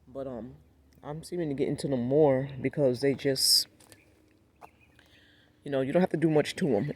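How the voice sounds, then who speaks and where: A man with a raspy, hoarse voice from a cold, giving a laid-back, rambling walkthrough of his backyard garden and talking straight to his viewers. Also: medium pitch, a little mellow. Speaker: gardening vlogger. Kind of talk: casual walkthrough vlog.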